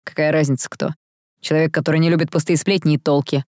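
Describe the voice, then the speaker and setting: A woman's voice: low-pitched, steady tone, fast, a little mellow, no room sound, studio quality, lightly acted. Woman acting a hot-tempered, blunt young man. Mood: restrained anger. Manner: blunt, through clenched teeth, stern. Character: hot-tempered, broad-shouldered young man defending his sister.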